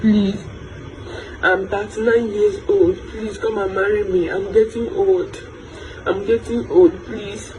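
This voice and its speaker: A woman's voice: medium pitch, animated, takes it slowly, a little mellow, slight background noise, poor audio. A woman in her late thirties, pleading over and over in a social media video. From Nigeria. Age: 30s. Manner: repetitive, pleading.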